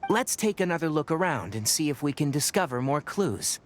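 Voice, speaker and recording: An actor playing a young male forest ranger and scholar in a video game, measured and lecturing. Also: on the high side, very animated, faint music behind. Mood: explaining. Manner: measured, lecturing, matter-of-fact.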